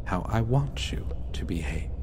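A man playing a vain, possessive vampire captor in a dark fantasy ASMR roleplay, speaking with theatrical, condescending pauses. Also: lowish voice, very animated, background noise. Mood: bored, scornful.

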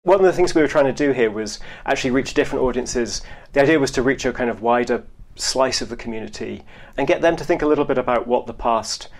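Man with slightly creaky voice, explaining. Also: mid-range voice. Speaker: academic historian, interviewee. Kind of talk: interview answers, explanatory.

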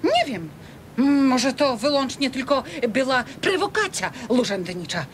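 High-voiced bossy folksy housewife, Podlasie accent. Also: female voice, very animated, unhurried. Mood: lecturing. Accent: Podlasie, eastern Polish. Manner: folksy, lecturing. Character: bossy talkative older Polish housewife, folksy and opinionated. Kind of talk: radio drama acting in rural dialect. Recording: rumbly, noisy radio recording.

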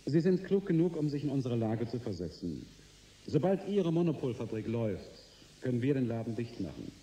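An actor in a radio drama playing a shrewd, smug corporation boss, suave, deliberate and condescending. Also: male voice, mid-range voice, very animated, warm tone. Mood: smugly blunt. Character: shrewd, smug male energy corporation boss negotiating hard. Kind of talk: German radio drama acting. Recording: roomy sound with faint noise.

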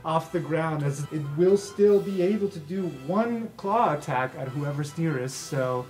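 A man's voice: a little high, measured pace, darkish tone. A man acting as game master, narrating an improvised fantasy game in a casual, vivid, sweary way. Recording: music behind the voice. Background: background music.

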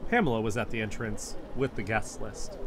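An actor playing an earnest amateur investigator, narrating events in first person as scripted audio drama. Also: male voice, fairly low pitch, moderate pace, very noisy, natural voice. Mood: earnest, intrigued.

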